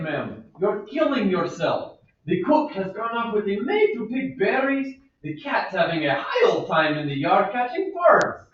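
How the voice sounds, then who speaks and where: A fussy old servant pleads with his mistress, played by a man, coaxing and scolding with a high, lively voice. He keeps circling back to the same worry. The sound is distant and echoey. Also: full character voice. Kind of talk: amateur play reading. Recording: echoey room, far from mic, dull.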